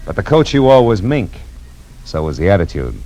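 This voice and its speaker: An actor playing a laconic hard-boiled American detective in 1940s radio noir style. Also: male voice, fairly low pitch, very animated, brisk, warm tone, poor audio. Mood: wry, hard-boiled. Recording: vintage radio recording with music.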